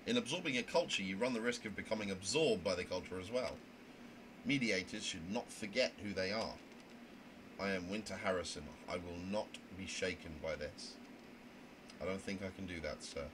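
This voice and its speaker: A man's voice: deep voice, moderate pace, natural voice. Man acting out a flustered, indignant young trainee, noisy recording. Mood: anxious, defiant. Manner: earnest, flustered, stammering with indignation. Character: anxious, indignant, rule-bound young trainee mediator, Winter Harrison. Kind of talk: amateur voice acting of a visual novel.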